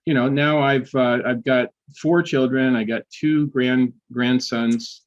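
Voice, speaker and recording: An older man, a history professor, telling personal stories in a casual interview, often restarting sentences and filling pauses. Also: medium pitch, steady tone, highs cut off. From United States. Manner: halting, many restarts and fillers.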